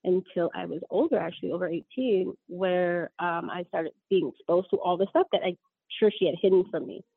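A woman's voice: on the low side, brisk, creaky, husky, no echo. Interview guest, woman, 30s. Rambling, self-correcting storyteller, tinny dry line. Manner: rambling, frequent ums and self-corrections, laughs often.

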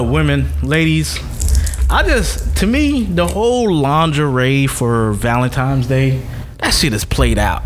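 A man's voice: a little high, a little hiss or hum. Blunt, playful man with a raised voice. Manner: blunt, animated, playful, swears freely. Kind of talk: casual chat.

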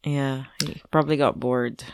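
A woman co-hosting a casual podcast with her husband, her voice croaky and groggy, easy-going and quick to laugh. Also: very deep voice, steady tone, no echo. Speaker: podcast co-host. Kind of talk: casual chat.

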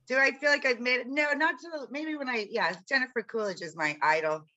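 A middle-aged woman with a deep raspy voice, chatting casually as a podcast guest and telling self-deprecating stories about her acting career. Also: brisk, bright, forceful tone, dull audio. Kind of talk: casual chat.

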